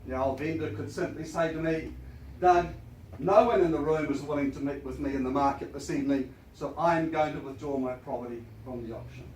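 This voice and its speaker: A croaky-voiced New Zealand man runs an auction, rattling through the formal terms and then talking up the house with eager sales patter. His voice sits a little high. It comes from some distance in an echoey room with faint noise behind it. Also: a little mellow. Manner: brisk, formal, then enthusiastic selling.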